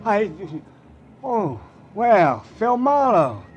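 An actor playing a shifty, disgraced private eye with an American accent, wheedling and weaselly, in a 1950s radio drama. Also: male voice, high voice, very animated, extremely slow, dull audio, over loud music, heavily acted. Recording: vintage radio recording, faint noise.

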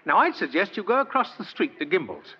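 A kindly old santa, super swoopy delivery, chatty n courtly, muffled old radio recording. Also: male voice, sits high, wildly swooping pitch, a little fast, slight background noise. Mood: jolly, helpful. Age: older. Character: jolly, kindly old man claiming to be Santa Claus. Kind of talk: 1940s radio acting.

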